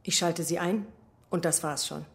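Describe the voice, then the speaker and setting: Female conspirator: daring, unfazed, matter-of-fact, lowish voice, radio crime drama. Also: moderate pace, gravelly.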